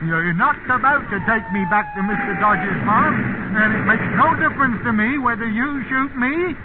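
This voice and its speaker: An actor playing a stubborn, grumbling old farmhand with a rural English accent in a 1950s radio drama. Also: male voice, very high-pitched, animated, normal speed. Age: older. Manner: folksy, complaining, rambling. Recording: tinny, noisy 1950s radio recording.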